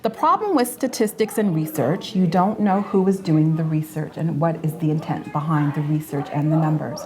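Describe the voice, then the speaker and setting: A woman's voice: low voice, moderate pace, darkish tone. A Canadian woman in her forties, co-hosting a casual panel chat, earnest and stumbling over repeated words as she makes her point. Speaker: co-host.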